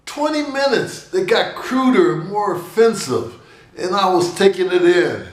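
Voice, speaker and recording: An older man giving a personal sermon to camera, telling stories with big gestures and a booming voice, recorded in a small room indoors. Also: measured pace, roomy sound. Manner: animated storytelling with big gestures.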